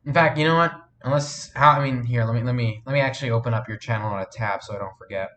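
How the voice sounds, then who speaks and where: A man's voice: mid-range voice, fast, a bit bright. Man, live stream host, sniffly, filler-heavy rambling, chatting to viewers, slight hiss behind. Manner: rambling, hesitant, full of fillers and restarts; reads and answers viewer chat. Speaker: live streamer and fan film maker. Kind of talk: casual live stream chat.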